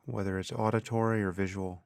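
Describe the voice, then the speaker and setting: A man guiding a meditation as a teacher, speaking calmly and deliberately in measured phrases with pauses. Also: lowish voice, gravelly, no room sound. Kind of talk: guided meditation narration.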